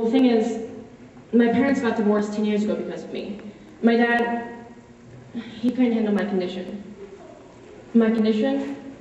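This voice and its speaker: A woman performing a dramatic monologue as a wry, wistful fourteen-year-old boy, confiding in a conversational way with dramatic pauses. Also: sits high, darkish tone, voice pushed up. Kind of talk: solo dramatic monologue, direct address. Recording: echoey hall, distant, muffled, faint noise.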